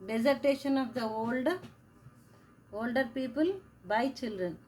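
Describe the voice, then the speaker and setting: A woman teacher giving a slow lecture, repeating key phrases as she explains. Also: fairly high pitch, darkish tone, faint music behind, low-quality recording. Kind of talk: classroom lecture.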